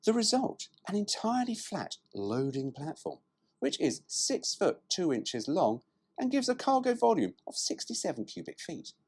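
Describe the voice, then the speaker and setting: A man's voice: sits high, huge pitch swings, normal speed, husky. A man reading a brochure aloud as a presenter, dropping in cheeky, irreverent, sweary asides.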